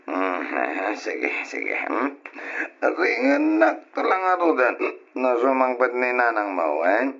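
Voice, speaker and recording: An actor playing a drunken, carefree husband in an old radio drama, with slurred, drawling, husky talk. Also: male voice, medium pitch, wildly swooping pitch, slow pace, a bit bright, highs cut off, full character voice. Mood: drunk, calling out. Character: drunken, carefree husband and father who brushes off warnings.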